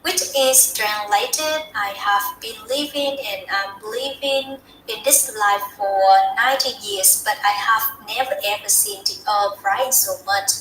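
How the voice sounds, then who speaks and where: A woman's voice: medium pitch, a little slow, few pauses, pushed and bright, echoey room, faint music behind, poor audio. Earnest young Vietnamese-accented woman. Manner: earnest, heartfelt, with frequent restarts. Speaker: student speaker. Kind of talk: practice talk in a TED style.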